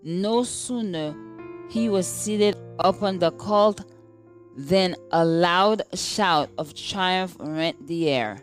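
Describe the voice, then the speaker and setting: A woman reads a scripted devotional in a measured, deliberate way. Her voice sits a little low and moves very slowly, with faint music playing behind her. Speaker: devotional reader. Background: background music.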